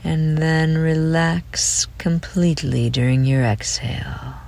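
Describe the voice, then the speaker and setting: A woman guides a meditation at an extremely unhurried pace, leaving long spaces between short phrases. Her voice sits low and turns creaky in places. She sounds gentle and soothing, often trailing off into breathy near-whispers. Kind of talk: slow guided relaxation meditation.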